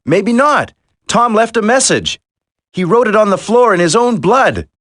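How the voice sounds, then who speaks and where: An actor playing an earnest, determined young special-forces soldier in a dramatic video game. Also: male voice, high voice, big pitch swings, a little slow, fairly bright tone, dry recording, clean studio sound, heavily acted. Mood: grave.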